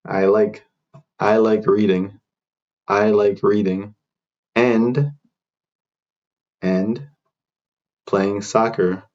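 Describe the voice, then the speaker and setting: Guy teacher saying phrases super slow, lowish voice, kinda dull lo-fi sound. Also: a little mellow. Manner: repeats short phrases slowly with pauses. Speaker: English teacher. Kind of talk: slow repeat-after-me drill.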